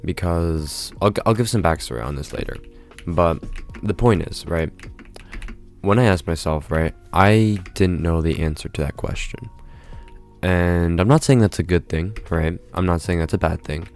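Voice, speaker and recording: A man's voice: low-pitched, moderate pace, faint music behind. A congested man speaking casually to his viewers in a rambling monologue, tagging nearly every phrase with "right?". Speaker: vlogger.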